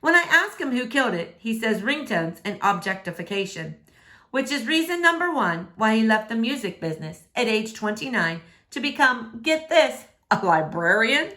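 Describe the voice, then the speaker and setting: A woman's voice: on the high side, big pitch swings, moderate pace, pushed and bright. A woman reading a novel in verse aloud, narrating expressively.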